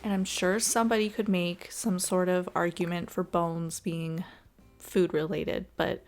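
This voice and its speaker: A woman giving casual, chatty and expressive voice-over commentary as she plays a video game. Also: lowish voice, a little slow. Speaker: gaming video creator. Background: background music.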